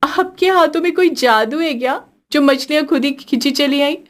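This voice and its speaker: A woman's voice: high-pitched, moderate pace, pitched above the performer's own voice, lightly acted. A woman voicing a caring, fretful young village daughter-in-law in a cartoon story, earnest and emphatic. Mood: amazed.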